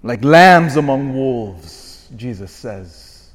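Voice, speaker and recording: A man in his forties, a parish priest giving a sermon, swinging from shouted emphasis to quiet asides. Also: medium pitch, expressive, extremely slow. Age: 40s. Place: church. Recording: long church reverb.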